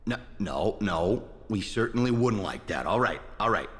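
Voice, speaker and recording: A man's voice: lowish voice, lively intonation, moderate pace, lightly acted. A male actor plays a slick, corrupt American politician for a video game. He is pompous and foul-mouthed, swinging widely up and down as he brags and gripes. The voice rings in a long reverb. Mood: exasperated, ranting. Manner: pompous, swaggering, profane. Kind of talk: video game character acting.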